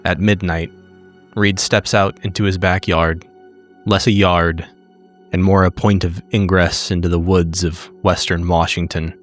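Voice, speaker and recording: A man narrating tabletop horror fiction in a measured, deliberate, literary way. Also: deep voice, little pitch movement, faint music behind. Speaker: player narrating his character in a tabletop horror game.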